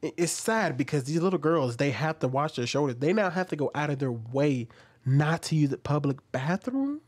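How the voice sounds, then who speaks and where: A man in his thirties hosting casual commentary at home into a studio mic, talking with animated, questioning emphasis. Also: fairly high pitch, moderate pace. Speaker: commentary host. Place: small room at home, indoors. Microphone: studio mic on an arm, wearing headphones.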